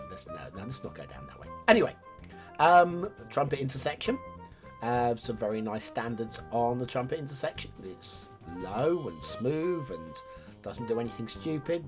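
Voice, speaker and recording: Male host, hoarse and a bit raspy, chatty and animated, mid-pitched, with soft music behind. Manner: chatty, animated, sudden jumps in loudness. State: hoarse from a chest infection. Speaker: game map reviewer, host. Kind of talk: casual commentary over gameplay.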